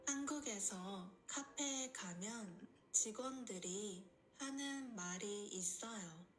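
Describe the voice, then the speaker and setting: A woman's voice: medium pitch, rapid-fire, soft background music. A woman reading scripted lesson narration, heard as a played-back clip.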